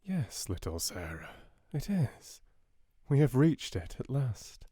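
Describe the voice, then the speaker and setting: A man voicing a gentle, doting young father in an audiobook, speaking softly and tenderly to reassure a child. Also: mid-range voice, very animated, slow pace, slightly rough, dry recording, studio quality, pitched above the performer's own voice, exaggerated voice. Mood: gentle, resigned. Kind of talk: audiobook character voice.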